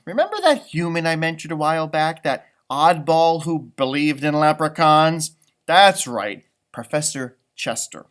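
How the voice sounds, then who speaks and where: A man reading a children's story aloud, adding chatty, improvised asides about the pictures. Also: fairly high pitch. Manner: animated, chatty commenting on pictures. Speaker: storybook reader.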